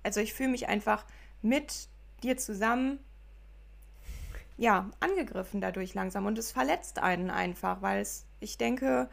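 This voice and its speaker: A woman's voice: mid-range voice, normal speed, creaky. A woman co-hosting a podcast, speaking earnestly to listeners in casual chat with a regional German dialect. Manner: earnest, appealing directly to listeners. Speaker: podcast co-host.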